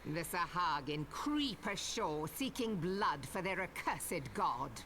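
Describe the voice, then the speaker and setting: An actress playing a stern, commanding admiral in a video game, delivering grave nautical oratory in archaic English. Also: female voice, lowish voice, expressive, fairly bright tone, a little hiss or hum. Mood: grave, warning. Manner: grave, deliberate, declamatory. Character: stern commanding female admiral rallying her pirate crew.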